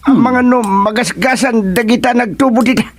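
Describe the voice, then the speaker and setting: Fussy, high-voiced comic king. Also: normal speed. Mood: wary, blustering. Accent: Filipino. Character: fussy, doubtful comic king with threatening temper. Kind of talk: Filipino radio drama comedy acting.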